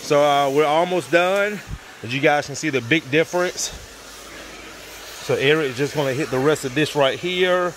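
Slightly high-voiced man filming a job. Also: moderate pace, slight background noise. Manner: relaxed, talks to viewers with fillers. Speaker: floor cleaning contractor. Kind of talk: casual work vlog walkthrough. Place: unfinished basement on a job site. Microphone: handheld phone camera.

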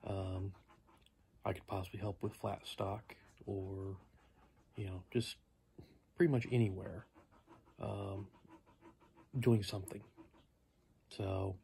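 A tired man in his fifties rambling casually to camera, halting and low-key as he thinks aloud. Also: little pitch movement, creaky, mellow. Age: 50s. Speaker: vlogger. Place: small living room at home, indoors. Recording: noticeable background noise.

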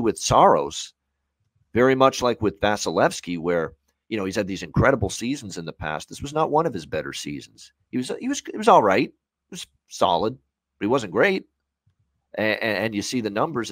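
A man's voice: fairly low pitch, very animated, a little fast, no echo. A Canadian man in his thirties giving conversational sports betting analysis in a discursive way, repeating phrases for emphasis. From Hamilton area, Ontario, Canada. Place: small home room on a video call.

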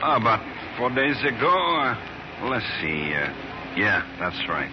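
An actor playing a folksy, shifty retired crook in a 1950s radio drama, hesitant in delivery. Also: male voice, fairly low pitch, sing-song, a little slow. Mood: genial, evasive. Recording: noisy, muffled 1950s radio recording.

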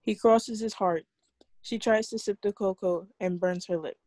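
Woman, script narrator, table read, mellow tone, medium pitch, dry sound. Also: steady tone, normal speed, no echo. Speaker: stage directions reader. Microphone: video call.

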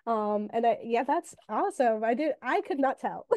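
Upbeat young woman moderator, mellow voice. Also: fairly high pitch, expressive, moderate pace, no echo, studio quality. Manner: enthusiastic, encouraging. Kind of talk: festival Q&A over video call. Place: room with old monitors, indoors. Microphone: video call.

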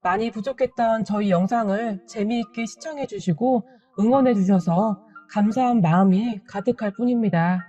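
A woman narrating a first-person family story in a gentle, earnest and polite manner for a story channel. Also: mid-range voice, normal speed, hardly any pauses, far from the mic, dull audio, soft background music.

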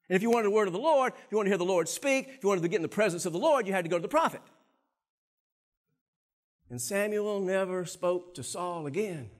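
Man, middle-aged, pastor, fairly high voice, animated sermon, church stage, lapel mic. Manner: animated preaching, swinging between low and loud.

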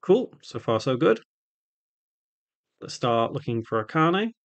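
A man presenting an unscripted step-by-step software tutorial, thinking aloud as he instructs. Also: medium pitch, very animated, slow, muffled recording.

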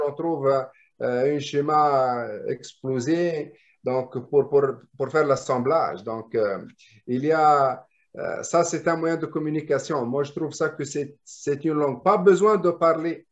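A man's voice: fairly high pitch, measured pace. A man whose first language is Arabic, a draftsman guest explaining his work on a video call with many restarts and repeated words. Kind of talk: casual video call discussion. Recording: muffled lo-fi call audio.

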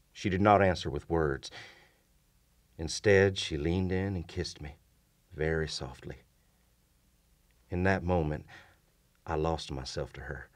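A low, deep male voice as a doomed gentleman soldier in a radio play. He is grave and formal, with old-fashioned courtesy, telling his haunted tale as both narrator and character. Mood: smitten, earnest. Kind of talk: radio drama acting and narration. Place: small indoor radio studio. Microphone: shared vintage-style studio mic on stand.

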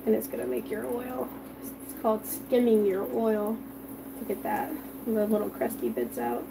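A woman's voice: medium pitch, sing-song, moderate pace, noisy background. A woman chatting with viewers and reading their comments while she fries food in her home kitchen. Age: adult with children. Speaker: home cooking livestream host. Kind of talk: casual live cooking chat with viewers.

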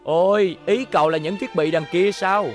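A man's voice: sits high, moderate pace, soft background music, close to an everyday voice. A man voicing a vengeful, scheming boy robot villain in a cartoon dub, smug and gloating. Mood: persuasive. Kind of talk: cartoon dubbing.

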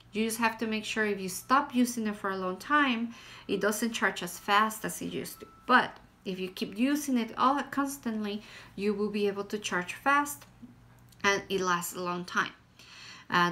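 A woman's voice: medium pitch, pushed and bright. Woman with a Guatemalan Spanish accent. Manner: plain, hesitant, with frequent fillers. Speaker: vlogger. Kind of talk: casual product review. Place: small room at home, indoors.

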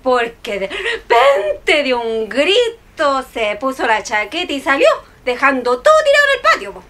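A woman's voice: sits high, huge pitch swings, measured pace, bright, forceful tone, exaggerated voice. A woman with a Chilean accent playing a deferential, folksy housemaid in a radio comedy. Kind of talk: radio play comedy acting.

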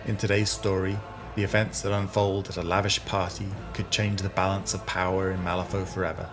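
A man's voice: deep voice, level tone, brisk. A man giving a scripted introduction as the announcer of a story. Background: music.

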